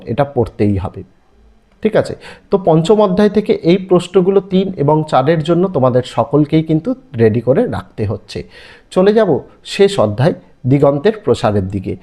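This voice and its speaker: A man's voice: high voice, mellow. A man with an Indian Bengali accent teaching an exam-prep lesson, reading out listed questions in an instructional, emphatic way. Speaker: online history teacher.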